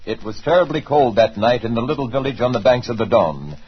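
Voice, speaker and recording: Husky, theatrical 1940s radio narrator, male. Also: medium pitch, expressive, brisk. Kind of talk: 1940s radio dramatic storytelling. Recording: dull, rough 1940s radio recording.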